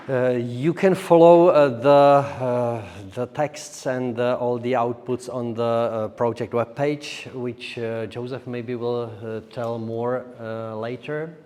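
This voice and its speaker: A man giving a semi-formal conference presentation as a project speaker, with a halting, hesitant delivery. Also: mid-range voice, fairly even intonation, a little mellow, clean tone. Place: large echoey indoor hall. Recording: echoey hall with long reverb.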